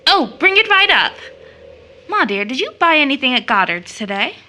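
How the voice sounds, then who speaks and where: A young woman in an audio drama, high-strung and full of affection for children. Her voice is fairly high and very bright, with big lively swings in pitch. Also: moderate pace, full character voice. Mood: giddy, nervy. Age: young adult.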